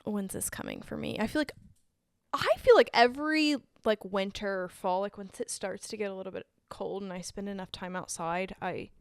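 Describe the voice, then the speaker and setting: A woman's voice: mid-range voice, moderate pace, clear, resonant tone, no echo, clean studio sound. A young woman co-hosting a casual podcast, chatting freely with many fillers. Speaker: podcast co-host. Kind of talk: casual chat.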